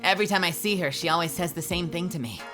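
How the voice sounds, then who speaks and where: A woman's voice: fairly low pitch, quick pace, music in the background, natural voice. A woman voicing a flustered young male lawyer who talks earnestly and naively. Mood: dreamy.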